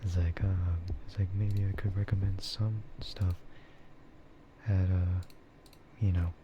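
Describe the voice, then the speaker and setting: Low, soft half-whispering man, ASMR style. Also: level tone, unhurried, dull audio, background noise. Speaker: VTuber streamer.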